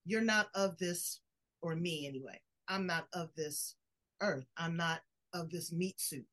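A woman's voice: lowish voice, a little slow, a bit bright. A woman hosting an interview show in a conversational style, introducing and questioning a guest. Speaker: podcast host interviewing a guest.

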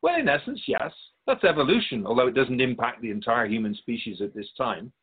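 A man playing a wise, patient otherworldly spirit in a radio drama, explaining things in a measured, gently pedantic way with a husky voice. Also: medium pitch, animated, phone-quality sound. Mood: explanatory, measured. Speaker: spirit being interviewed. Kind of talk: scripted radio drama dialogue.

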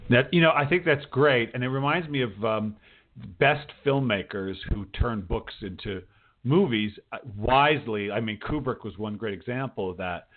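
A man's voice: mid-range voice, normal speed, narrow, tinny audio. A man hosting a casual interview, talking informally and probing in short, rephrased bursts. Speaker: host, interviewer. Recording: band-limited radio-style voice recording.

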